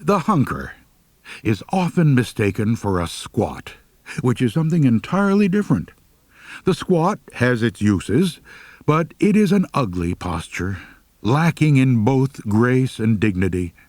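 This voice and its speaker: A man's voice: medium pitch, sing-song, measured pace, darkish tone, no room sound. A man narrating a humorous first-person story as an audiobook reader, with a wry, mock-serious delivery.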